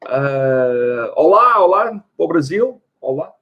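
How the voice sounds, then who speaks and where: A man's voice: on the high side, sing-song, slow. Male host, Portuguese-born, casual and talkative, answers viewer questions, muffled stream audio. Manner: chatty, reads viewer questions aloud. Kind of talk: casual livestream chat.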